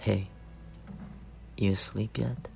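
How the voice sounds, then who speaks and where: A man playing an affectionate, teasing young roommate secretly in love, in an intimate ASMR roleplay with a soft, creaky voice. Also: on the low side, steady tone, slow, faint music behind, exaggerated voice. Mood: tender, wistful. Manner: playful teasing murmur with soft chuckles.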